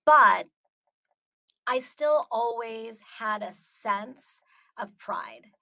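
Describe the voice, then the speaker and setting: Measured, reflective American woman on a call. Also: fairly high pitch, expressive, creaky, sharp tone. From United States. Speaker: guest speaker. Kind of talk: earnest talk answering audience questions. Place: indoors on a video call. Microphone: video call.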